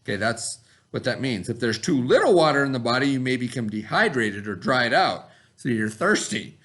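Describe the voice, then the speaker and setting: A man's voice: medium pitch, lively intonation, moderate pace, fairly bright tone. A middle-aged man reading a lesson aloud to children as their teacher, with simple, instructive explanations.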